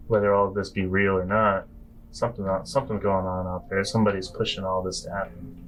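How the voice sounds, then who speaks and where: A man plays a tabletop role-playing game, speaking casually in character. His voice is on the low side and stays quite flat, with music behind it. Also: fast talker, rough recording.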